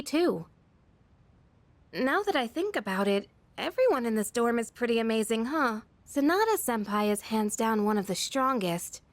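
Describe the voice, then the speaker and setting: A woman voicing a candid, friendly teenage schoolgirl with self-doubt, in a casual, expressive anime-style game performance. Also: fairly high pitch, no room sound.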